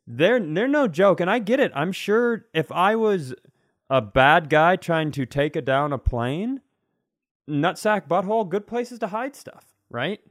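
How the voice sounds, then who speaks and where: A man's voice: high voice, animated, a little slow, no echo, studio quality. A man in casual podcast chat, telling personal stories in a frank, conversational, comic way. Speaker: podcast co-host and comedian.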